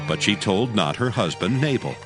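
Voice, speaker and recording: A male narrator reads a dramatized Bible story in a lowish voice. His intonation is very varied, and music plays clearly beneath him. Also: lively intonation, moderate pace.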